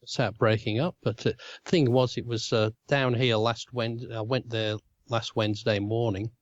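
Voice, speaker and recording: A man with a throaty voice from a cold, talking in halting bursts and restarting his phrases. The sound is dry but slightly dull and muffled, as if coming over a remote line. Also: mid-range voice, steady tone, no echo. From Australia. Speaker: podcast co-host. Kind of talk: casual chat.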